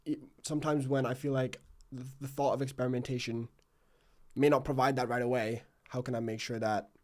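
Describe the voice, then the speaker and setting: A young man of about twenty with a mid-pitched voice hosts a casual interview. He poses his questions conversationally. His phrasing is hesitant and searching as he works toward each point. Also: little pitch movement, a little fast. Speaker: podcast host, interviewer.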